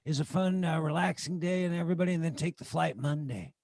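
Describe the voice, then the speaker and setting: A man's voice: a little high, fast, gravelly, darkish tone, no echo, studio quality. A man chatting casually on a video call, encouraging and jokey. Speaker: show co-host.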